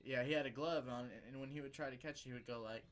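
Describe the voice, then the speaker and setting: A man's voice: medium pitch, fairly even intonation, very fast, over a noisy crowd. A young man co-hosting a casual podcast, telling stories and laughing often. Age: young adult. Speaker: podcast co-host. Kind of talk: casual chat.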